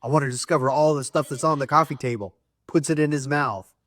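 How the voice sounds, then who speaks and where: A man's voice: medium pitch, fairly even intonation, fast, fairly bright tone, dry recording. A man co-hosting a spiritual talk show, speaking earnestly in a preachy way. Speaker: co-host.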